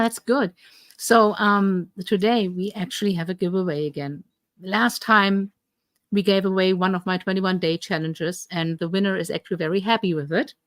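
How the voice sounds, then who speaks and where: A woman's voice: fairly low pitch, dry recording. A woman with a German accent, co-hosting a live health coaching Q&A stream with lots of ums and restarts. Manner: chatty, many ums and restarts. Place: home in Atlantic Canada.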